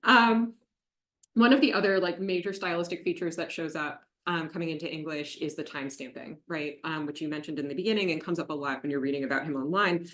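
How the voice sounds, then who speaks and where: A woman's voice: sits low, brisk, a bit bright, reverberant. A woman interviewing an author at a book talk, curious and probing, with a scholarly vocabulary. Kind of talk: literary interview with academic questions.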